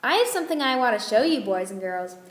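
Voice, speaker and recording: A woman's voice: a little high, very animated, quick pace. A woman playing a friendly, singsong host of a children's radio show. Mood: inviting. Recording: roomy, faint music.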